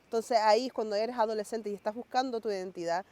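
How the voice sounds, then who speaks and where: Woman who grew up in norway, mellow voice, talking things through slowly in an interview. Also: medium pitch. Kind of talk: documentary interview, reflecting on identity.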